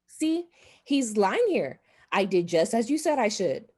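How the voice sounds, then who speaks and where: An actress playing an anxious, frightened wife in a radio drama, speaking dramatically and haltingly with repeated phrases. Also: female voice, mid-range voice, sing-song, darkish tone, breathy edge. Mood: panicked.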